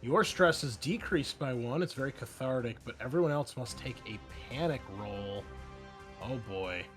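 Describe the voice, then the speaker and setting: A man running a tabletop roleplaying game as game master, narrating the action in a conversational, halting way. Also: medium pitch, expressive, a bit bright. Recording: faint music behind the voice. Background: faint music.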